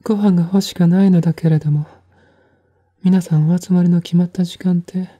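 A man's voice: high-pitched, steady tone, brisk, warm tone, pitched above the performer's own voice. A man voicing a languid, groggy woman with drowsy, feminine speech in an audio drama.

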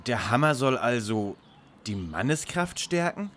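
Man playing a formal, lively-pitched young detective. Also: measured pace. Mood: courteous. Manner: formal, courteous, precise. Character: polite, formal young male lead detective. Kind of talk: parody detective radio play.

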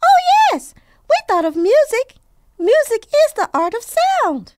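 A woman voicing a cheerful cartoon girl student with a high-pitched voice, who eagerly explains facts. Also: very animated, takes it slowly, a little hiss or hum, heavily acted. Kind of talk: educational cartoon voice acting.

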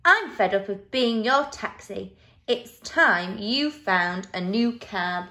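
A woman's voice: mid-range voice, lively intonation, slow, sharp tone, heavily acted. Woman as a bossy, grumpy storybook crab. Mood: fed up. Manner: bossy, dismissive. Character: grumpy, territorial crab guarding his shell.

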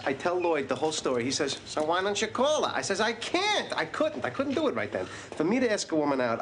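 An actor in his thirties playing a neurotic, anxious man who rambles excitably and puts himself down while telling a dating story. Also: a little high, slight background noise. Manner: rambling, self-deprecating, excitable. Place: coffee shop and a parked car at night.

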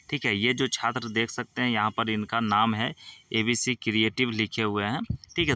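A man's voice: mid-range voice, pushed and bright, dry recording. A man hosting an education channel, walking students through an official notice and filling his speech with "theek hai.". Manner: repeats "ठीक है" and "जो है" as fillers, patient instructive tone. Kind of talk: screen-recorded explainer for students.

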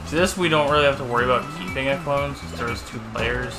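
A young man chatting casually and teasing his partner while they play a video game together, with a naturally loud, excitable way of talking. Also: medium pitch, fast, echoey room. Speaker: co-host of a gaming let's play. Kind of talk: casual chat while playing. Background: game music.

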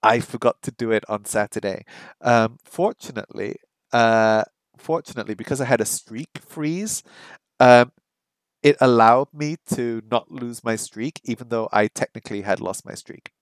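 A middle-aged man talks casually into a headset mic, close and dry. He chats to viewers, stops to sound out practice phrases slowly, and repeats words as he works through them. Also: mid-range voice, no echo, poor audio. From English first language, lives in Hong Kong. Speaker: streamer learning Japanese. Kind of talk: casual live-stream chat. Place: small home room by a window.

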